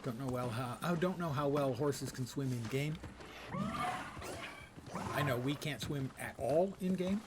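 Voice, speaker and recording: A man's voice: medium pitch, measured pace, long pauses, noisy background. A middle-aged man giving casual live commentary on his game, thinking aloud as he plays. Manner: thinks aloud while playing.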